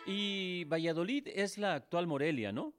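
An actor playing a curious, eager history learner, casual and inquisitive in scripted radio dialogue. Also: male voice, high voice, unhurried, over music.

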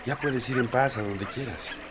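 A man's voice: mid-range voice, normal speed, natural voice. An actor playing a protective, firm village man with a Mexican accent in a radio drama. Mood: reassuring. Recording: loud background noise, watery ambience.

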